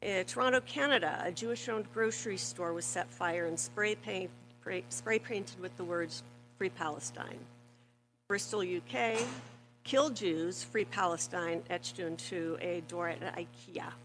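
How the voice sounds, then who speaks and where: A woman's voice: lowish voice, unhurried, a bit bright, soft background music, rough recording. A retired teacher, an older woman, giving public comment and reading quotations with emphatic stress. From Oakland, California. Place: public meeting hall.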